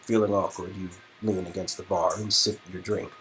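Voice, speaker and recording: A man's voice: deep voice, level tone, normal speed, fairly bright tone, soft background music. A man reading out narration from an interactive romance game, with joking asides to his co-reader.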